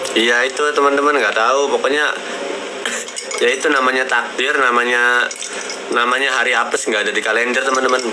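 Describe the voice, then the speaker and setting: A man's voice: medium pitch, fairly even intonation. A man chatting casually on a livestream, often repeating words as he recounts personal memories. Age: 20s. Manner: halting, repeats words and phrases. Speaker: livestream host. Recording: noisy live stream with clear background noise.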